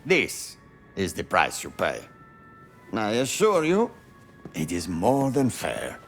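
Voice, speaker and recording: Theatrical Italian hustler, wildly animated intonation, coy, haggling, video game, music behind. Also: male voice, lowish voice, full character voice. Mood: coy, wheedling. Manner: theatrical, coy, drawn-out salesmanship. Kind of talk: cinematic game voice acting.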